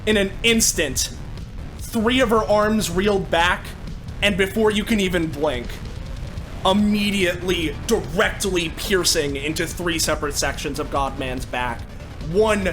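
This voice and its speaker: A man talking as a player in a tabletop game, improvising narration and rules talk in a halting, casually sweary way. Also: sits high. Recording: loud music behind the voice. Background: music.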